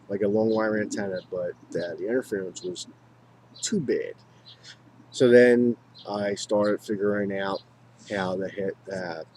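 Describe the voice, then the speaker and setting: An American man talking casually and unscripted to viewers as a hobbyist, in a halting, rambling way, often repeating himself. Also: sits low, darkish tone, slight background noise. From United States. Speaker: hobbyist video maker.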